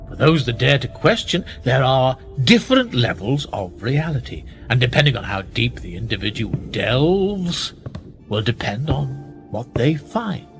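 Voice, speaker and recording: A powerful, manipulative gentleman of rank played by a male actor in a radio drama. His phrasing is measured and condescending, rising and falling expressively with a quiet threat beneath it. Faint music sits behind the voice. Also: mid-range voice, big pitch swings, creaky. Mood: smugly knowing. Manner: measured, condescending, with rhetorical tag questions. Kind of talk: radio play dialogue.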